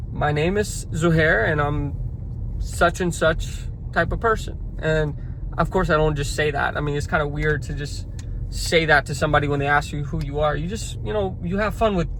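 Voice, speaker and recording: A man's voice: on the high side, fairly bright tone. A young man talking to camera from his car on his way to lunch, rambling and reflective, restarting his sentences often. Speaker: vlogger. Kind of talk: casual talking-to-camera vlog. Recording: faint car noise behind.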